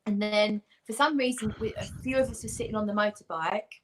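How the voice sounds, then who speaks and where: A woman's voice: mid-range voice, steady tone, a little fast, slight background noise. A woman as a guest on a live chat, telling a long anecdote in a rambling, self-deprecating way.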